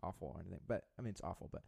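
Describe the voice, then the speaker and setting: A man's voice: low voice, animated, rapid-fire, vocal fry, darkish tone, husky. A young man co-hosting a podcast, telling a story in a rambling, profane way with many restarts. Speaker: podcast co-host. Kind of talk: casual chat.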